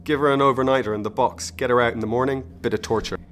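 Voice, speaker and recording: A man's voice: medium pitch, steady tone, quick pace, a bit bright, a little hiss or hum, close to an everyday voice. A man acting a callous, obedient henchman agent in a radio drama, matter-of-fact and menacing.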